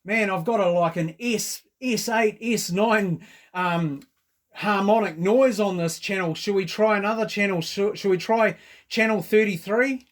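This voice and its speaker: A New Zealand man in a casual radio chat. His voice sits a little high, and he talks in a chatty, informal way with plenty of operator jargon. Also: a little slow.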